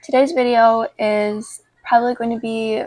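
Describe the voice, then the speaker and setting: A young woman vlogging casually about her life, congested with a cold, talking in an informal, halting way. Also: fairly high pitch, level tone, a little mellow, no echo, low-quality recording. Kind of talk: casual chat to camera.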